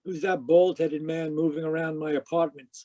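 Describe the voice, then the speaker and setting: Give this male actor's hard-boiled radio detective a slightly high voice and a clipped, wry delivery. He is brisk and matter-of-fact, narrating and questioning like an old-time mystery hero. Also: flat delivery, dark tone, close to an everyday voice. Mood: tense narration. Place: home, live video call performance.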